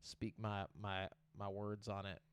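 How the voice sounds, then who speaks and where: A man with a smooth, clear voice trades dry, sarcastic jokes in relaxed chat. He sounds close to the mic in a dead, dry space. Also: fairly low pitch, unhurried, no room sound. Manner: sarcastic, jokey reactions. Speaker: podcast co-host. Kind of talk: casual chat.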